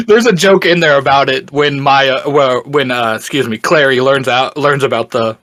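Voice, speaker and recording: A man with a mid-pitched voice chats casually, explaining as a fan who knows the subject. He speaks haltingly, searching for the next word, and the sound is dry with very little room in it. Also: a bit bright, dry recording. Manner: halting, searching for words, often chuckling. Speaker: podcast guest and fan explaining a book series. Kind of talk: casual explanatory podcast chat.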